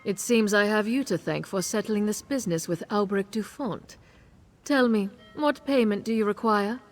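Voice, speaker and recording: A woman's voice: mid-range voice, moderate pace, faint music behind, close to an everyday voice. Lady Gisiliane, a haughty noblewoman, as a woman voices her. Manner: imperious, condescending. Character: haughty noblewoman who hosts cruel hunts. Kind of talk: video game voice acting.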